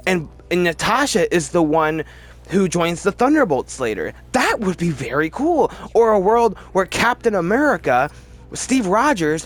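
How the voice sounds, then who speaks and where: A man hosting a casual, opinionated film ranking video, rambling as he goes and often repeating words for emphasis. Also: high-pitched. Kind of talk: casual opinionated movie commentary.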